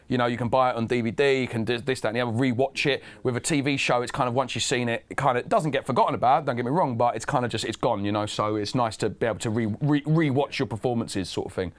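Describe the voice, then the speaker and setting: A man's voice: mid-range voice, fast, hardly any pauses. Stammering chatty man, raised in Russia. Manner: stammering restarts, chatty, laughs often. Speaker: filmmaker and actor guest. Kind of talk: casual interview.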